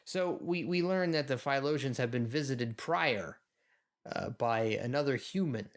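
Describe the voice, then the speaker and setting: A man with a mid-pitched voice talks through an episode as a podcast co-host. His delivery is casual and musing, with a wry, amused streak. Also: animated, a little slow.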